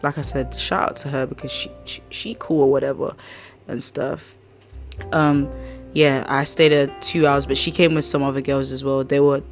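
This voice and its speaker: A woman with a croaky, hoarse voice hosting a casual podcast, rambling and slangy as she chats. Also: sits low. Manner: chatty, slangy, rambling storyteller. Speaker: podcast host. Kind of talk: casual chat.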